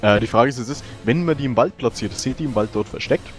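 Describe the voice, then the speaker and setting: A man's voice: mid-range voice, quick pace, music in the background. A man talking casually as a player in a tactical game discussion.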